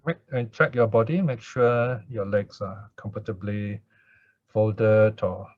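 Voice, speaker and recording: A man speaking as a Buddhist meditation teacher, in gentle, unhurried devotional chanting or guided instruction with pauses. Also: on the low side, fairly even intonation, no echo. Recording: dull lo-fi recording.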